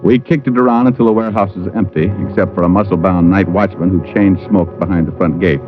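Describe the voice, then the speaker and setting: Low male voice, hardboiled radio detective played by a male actor, deadpan, wry, muffled old recording, music behind. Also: fast, lightly acted. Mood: wry narrating. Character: wisecracking world-weary male private detective. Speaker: lead actor and narrator. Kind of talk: 1940s radio detective drama narration.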